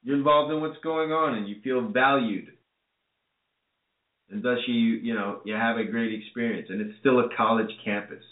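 A man's voice: medium pitch, moderate pace. A man hosting a call-in radio show, earnestly advising listeners and then bringing on a guest. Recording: echoey, phone-like lo-fi audio.

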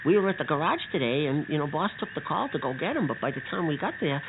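Casting: male actor as nervous, fussy rescuer in kids' radio play, stammering, pitch leaping about, tinny noisy audio. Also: sing-song, quick pace. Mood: worried. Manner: stammering, fussy, repeats himself. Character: anxious, exasperated male rescuer in a children's story. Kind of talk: improvised children's radio drama.

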